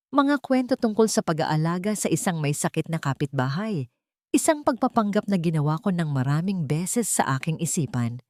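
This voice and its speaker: A woman tells a dramatic story in the first person. Her voice sits on the low side, and she phrases deliberately, leaning on key words. The recording is close and dry. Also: darkish tone, no echo. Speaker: story narrator.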